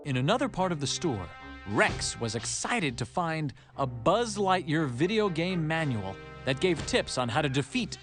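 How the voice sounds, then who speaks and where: A man narrating a scripted children's read-along story in an expressive, animated storytelling manner. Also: fairly high pitch. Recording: music behind the narration.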